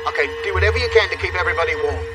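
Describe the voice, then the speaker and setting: Brief: actor playing a higher-pitched tower officer, calm instructions, distant mic. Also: male voice, racing, over music, natural voice. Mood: steady. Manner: steady, reassuring instructions. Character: calm male control tower officer on the phone. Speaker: reenactment actor.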